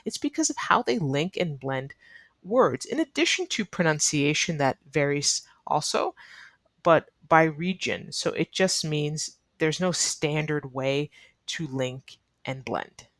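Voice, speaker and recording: A woman's voice: low voice, expressive, measured pace, fairly bright tone, no echo. Woman, ESL teacher, neutral American accent, earnest, repeats advice, dry close webcam sound. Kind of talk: online English lesson, explaining to learners.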